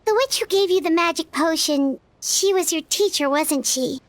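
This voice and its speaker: Game casting: tiny curious fairy girl, extremely high and squeaky. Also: normal speed. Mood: curious, sympathetic. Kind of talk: video game voice acting.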